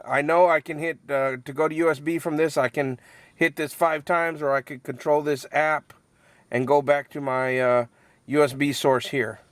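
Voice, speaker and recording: A man giving a casual, unscripted product review, halting as he thinks aloud and repeats words, in a matter-of-fact, mildly critical way. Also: fairly high pitch, dry recording. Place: inside a truck cab.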